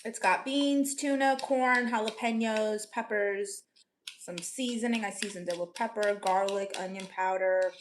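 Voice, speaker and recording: A woman's voice: medium pitch, unhurried, noisy background. A young woman from Orlando, Florida, chatting casually with viewers as livestream host, upbeat and laughing often. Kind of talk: casual chat reading viewer comments.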